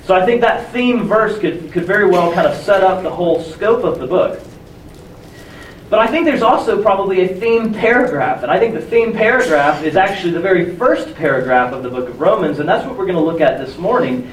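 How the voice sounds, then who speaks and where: A hoarse young man preaching a sermon in a conversational, self-deprecating way, with many restarts. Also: high-pitched, expressive, brisk, slight background noise. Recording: echoey room from far off with faint ambience.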